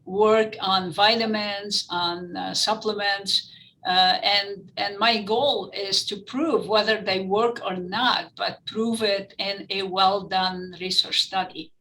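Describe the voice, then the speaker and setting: A woman's voice: medium pitch, steady tone, slow pace, sharp tone, poor audio. A middle-aged woman with a French-influenced Lebanese accent, giving earnest, explanatory answers in an interview. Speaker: interview guest, physician-researcher.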